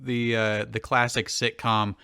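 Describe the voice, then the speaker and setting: Guy co-host doing casual funny commentary, bright crisp voice. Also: on the low side, flat delivery, a little slow. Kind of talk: casual comic riffing.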